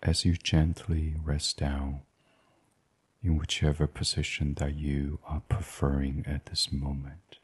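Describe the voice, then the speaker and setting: A man gently and soothingly reading a guided sleep meditation in a breathy, husky voice, as the host. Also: extremely low pitch, completely flat delivery, measured pace.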